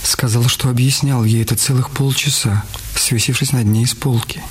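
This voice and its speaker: A man's voice: mid-range voice, fairly even intonation, normal speed, a little hiss or hum. A man narrating a dramatized story for radio as a scripted reading.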